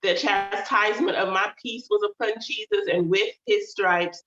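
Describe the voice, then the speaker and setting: A woman's voice: medium pitch, moderate pace, fairly bright tone, reverberant, dull audio. A woman hosting her own channel, chatting casually to her audience, calling them "y'all," and sounding slightly nasal from a stuffy nose. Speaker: author and channel host. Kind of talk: casual livestream chat.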